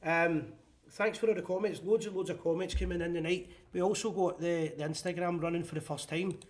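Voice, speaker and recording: Quick-talking Scottish man hosting a phone-in. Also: sits high, fairly even intonation. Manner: blunt, opinionated, quick-talking. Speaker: host of a fan phone-in show. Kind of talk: live phone-in chat.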